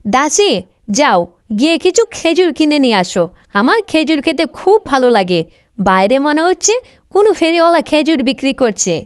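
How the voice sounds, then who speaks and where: A woman's voice: high-pitched, sing-song, moderate pace, no room sound, clean studio sound. A woman voicing a dramatic young princess in a cartoon fairy tale, in a theatrical, exclamatory manner. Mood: commanding. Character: young royal princess, dramatic and sweet-toothed.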